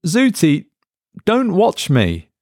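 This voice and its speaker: A man's voice: sits high, huge pitch swings, slow, darkish tone, no room sound, studio quality, voice pushed up, exaggerated voice. A man voicing a weary, irritable office worker whose voice climbs when he is strained. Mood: uneasy. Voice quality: strained, rising in exasperation.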